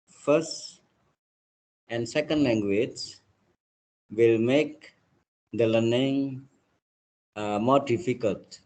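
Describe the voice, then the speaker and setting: A male linguistics lecturer with an Indonesian accent teaches an online class in English, his second language. He speaks at an unhurried pace and gropes for words as he explains. His pitch sits in the ordinary middle range for a man. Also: darkish tone, rough recording. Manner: halting, repeats "let's say, like".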